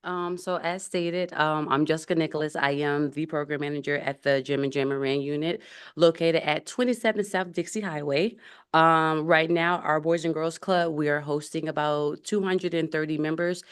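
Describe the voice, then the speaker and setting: A woman program manager speaking hesitantly, with many pauses, at a city commission meeting. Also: deep voice, steady tone, clean tone. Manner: hesitant, filler-heavy.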